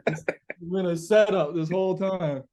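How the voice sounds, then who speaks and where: A man's voice: high-pitched, normal speed, dark tone. A man being interviewed as a musician, chatting casually and reflectively, searching for his words with informal, sweary phrasing.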